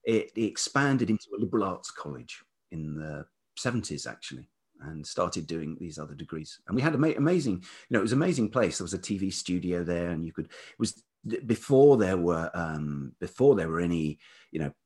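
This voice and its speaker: Deep velvety guy, bit husky, chatting about his life, pauses and feels for his words. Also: sing-song, a little mellow. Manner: hesitant, thoughtful, halting. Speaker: interview guest, writer and producer. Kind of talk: reflective autobiographical interview chat.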